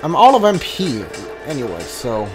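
A man's voice: on the high side, wildly swooping pitch, moderate pace. A man giving casual gameplay commentary, muttering his moves aloud as he thinks them through. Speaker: gamer playing through a role-playing game. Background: game music.

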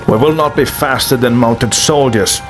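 Man as old knight mentor, grave, formal phrasing, medium pitch, soft music. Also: normal speed, natural voice. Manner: formal, avoids contractions. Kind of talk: fan dub voice acting.

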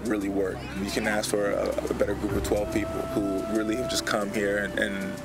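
A man organizing a charity camp, speaking in an interview with a gravelly, flat delivery. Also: lowish voice, fairly quick, over loud music.

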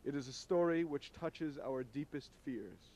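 Solemn, mellow man with higher-pitched voice. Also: measured pace. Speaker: host, media critic. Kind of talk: scripted street commentary to camera. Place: city street outdoors. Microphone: handheld microphone. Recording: noisy old videotape street recording.

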